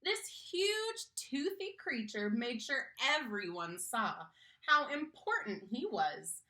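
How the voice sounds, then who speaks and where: A woman with a high, bright voice reads a picture book aloud to children. She takes her time and is theatrical and engaging, with pitch that moves in big lively swings. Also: slow pace. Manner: theatrical, engaging, asks listeners questions. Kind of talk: expressive children's read-aloud.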